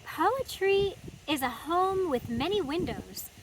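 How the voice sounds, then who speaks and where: A small, thoughtful chipmunk ponders and answers in an unhurried, musing voice, as a woman puts it on while reading. It is a gentle storybook animal. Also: extremely high pitch, very animated, a little hiss or hum, pitched above the performer's own voice, exaggerated voice, poor audio.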